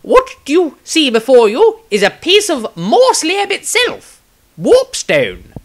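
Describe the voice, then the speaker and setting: A man voicing an excitable wizard, an eager, loud and pompous know-it-all in a fantasy audio story. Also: very high voice, slow, pitched above the performer's own voice, exaggerated voice. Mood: eager lecturing.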